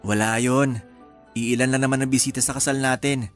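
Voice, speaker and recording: A relaxed, agreeable fiancé, voiced by a man in a radio drama, his pitch mid-range. Faint music plays under the scene. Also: fairly quick, natural voice. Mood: easygoing. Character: easygoing groom-to-be, accommodating fiancé.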